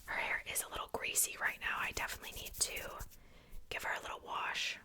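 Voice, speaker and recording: A woman speaking in a breathy, unvoiced whisper in a casual ASMR show-and-tell style, hushed and informal. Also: quick pace. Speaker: ASMR video creator.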